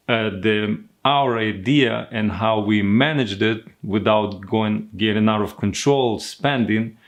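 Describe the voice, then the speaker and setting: A young man from Ukraine with a Ukrainian accent, explaining things casually as a co-host. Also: on the low side. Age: young adult. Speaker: co-host, money management coach.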